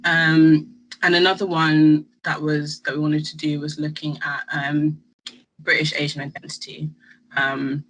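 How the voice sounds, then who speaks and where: A woman's voice: low voice, level tone, unhurried, fairly bright tone, a little hiss or hum, poor audio. A young woman, a student union vice president, chatting casually and rambling a little with fillers and laughs. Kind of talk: casual chat while eating snacks.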